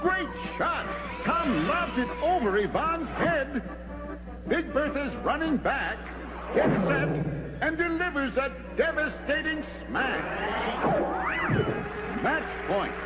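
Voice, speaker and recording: Very high-pitched cartoon sports announcer. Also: male voice, animated, slow, exaggerated voice, low-quality recording. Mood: excited. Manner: theatrical, exclamatory announcing. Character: excitable male cartoon tennis match announcer. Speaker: sports commentator. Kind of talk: play-by-play tennis commentary in a cartoon. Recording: music under the voice. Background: loud background music.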